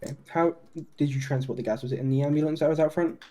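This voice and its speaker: Game roleplay: procedural police detective, acted by a man, polite, relaxed tone but very quick delivery. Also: on the high side, close to an everyday voice. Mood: methodical. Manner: procedural, questioning, restarts questions. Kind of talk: improvised online roleplay.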